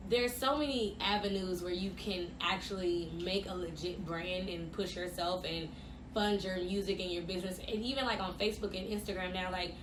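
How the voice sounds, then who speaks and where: A woman's voice: lowish voice, moderate pace. A woman co-hosting a podcast in chatty casual talk, sounding nasal and congested from a sinus infection. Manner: chatty and animated. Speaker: podcast co-host. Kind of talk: casual chat. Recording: echoey room, noisy.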